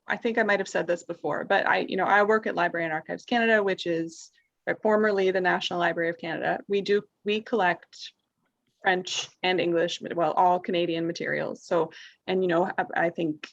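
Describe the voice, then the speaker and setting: A woman's voice: on the low side, steady tone, normal speed, vocal fry, fairly bright tone, no echo. A woman moderating an online roundtable, reading out audience questions in a friendly way. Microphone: video call. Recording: dry, dull lo-fi video call audio.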